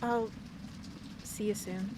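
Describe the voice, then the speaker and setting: An actress playing a young woman, shaken and near tears, who talks haltingly and repeats her words. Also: mid-range voice, steady tone, close to an everyday voice. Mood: tearful. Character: young woman June, upset daughter on phone. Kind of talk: radio play acting. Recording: heavy rain noise behind.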